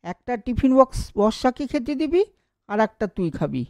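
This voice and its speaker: A woman voicing a kind mother who guides her child in a gentle, instructive manner in a moral cartoon. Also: fairly high pitch, very animated, moderate pace, no room sound, clean studio sound. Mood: caring.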